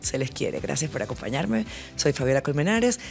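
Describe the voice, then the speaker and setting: A woman's voice: sits low, lively intonation, normal speed, gravelly, darkish tone, faint music behind. A woman hosting a news chat show in a small studio, exclaiming and laughing often. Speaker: host. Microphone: studio mic on boom, wearing headphones.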